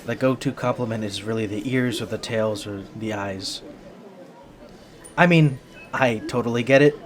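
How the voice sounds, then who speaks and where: A man's voice: mid-range voice, moderate pace, natural voice. A man playing a shy, flustered young bunny-boy hybrid in an ASMR roleplay, stammering and hesitant, earnest and sweet as he speaks to the listener. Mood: flattered, bashful.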